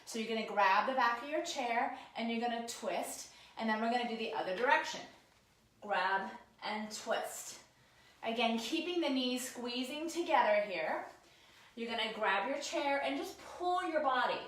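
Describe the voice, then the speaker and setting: A woman with a cold-roughened voice, casually talking viewers through stretches at a work desk while she demonstrates them. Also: on the high side, lively intonation, moderate pace, a bit bright, heavy reverb, poor audio. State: hoarse from a cold. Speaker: posture and ergonomics instructor. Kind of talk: guided stretching lesson, talking to camera. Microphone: camera mic, sometimes turned away.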